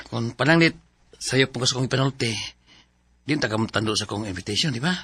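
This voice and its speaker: A man's voice: medium pitch, fairly quick, fairly bright tone, slightly rough, dry recording. An actor playing an earnest young male coworker confessing his feelings in a radio drama.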